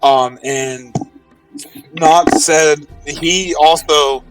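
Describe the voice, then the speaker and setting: A man's voice: a little high, steady tone, extremely slow, clear, resonant tone, no room sound, distant mic, soft background music, poor audio. A man playing a tabletop roleplaying game, speaking improvised in-character lines earnestly and pleadingly.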